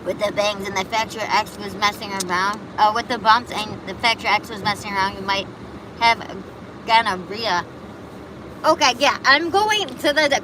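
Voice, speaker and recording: Chatty woman streaming amid traffic. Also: on the high side. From Buffalo, New York. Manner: chatty, rambling, reacts to chat comments. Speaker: livestream host. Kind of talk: casual live chat answering viewers.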